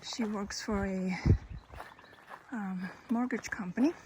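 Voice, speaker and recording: A woman in her fifties from Colombia with a Colombian Spanish accent, slowly telling her life story, pausing and correcting herself. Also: medium pitch. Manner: slow, thoughtful, with pauses and self-corrections. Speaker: hiker and vlogger. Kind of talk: personal life story, casual. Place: outdoors on a trail. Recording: outdoor recording with background noise.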